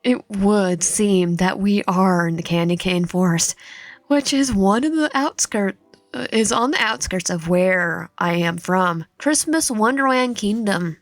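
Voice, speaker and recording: A woman's voice: mid-range voice, unhurried, dry recording, lightly acted. A woman voicing a courteous, earnest young prince in a visual novel, polite in manner. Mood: polite, apologetic. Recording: music behind the voice.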